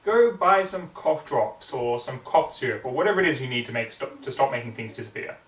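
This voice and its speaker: A man voicing a young female unicorn librarian, earnest, fussy and easily excited, in a story reading. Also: medium pitch, a little fast, roomy sound, far from the mic, close to an everyday voice. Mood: exasperated. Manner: exasperated, fussy, prone to gushing.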